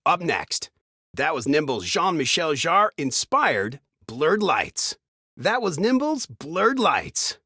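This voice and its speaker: An actor playing an upbeat retro radio DJ in a video game, with a smooth announcer's delivery of scripted patter. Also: male voice, on the high side, pushed and bright, no echo, clean studio sound, heavily acted.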